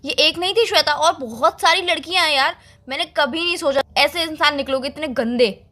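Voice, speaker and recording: Girl acting upset young woman telling a guy off in a call drama, high sharp voice, keeps pushing questions. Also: brisk. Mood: accusing, hurt. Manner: scolding, pressing with pointed questions. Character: hurt, accusing young woman confronting a male friend.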